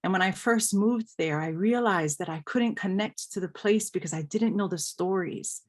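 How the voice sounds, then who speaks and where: A woman's voice: mid-range voice, normal speed. A woman from Hawaii speaking articulately as a panelist, reflecting personally and building emphatic lists. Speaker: panelist, scholar.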